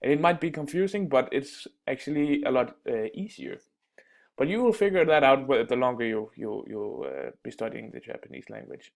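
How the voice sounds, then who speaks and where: A man teaching everyday phrases in a casual spoken lesson, pausing often with fillers and restarts. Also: medium pitch, animated, gravelly. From Denmark. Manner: halting, many fillers and restarts. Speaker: language teacher.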